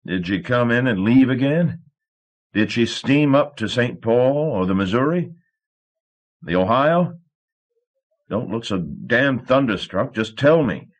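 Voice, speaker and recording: Man voicing a gruff old steamboat captain, hot-tempered, bellowing. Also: on the low side, unhurried, natural voice. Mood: angry. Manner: bellowing, blustery.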